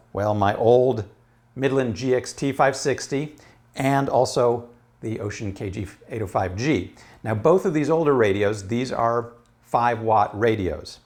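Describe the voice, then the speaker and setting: A man's voice: mid-range voice, a little slow, no echo. A man presenting a product range test in a casual, conversational way from inside a car.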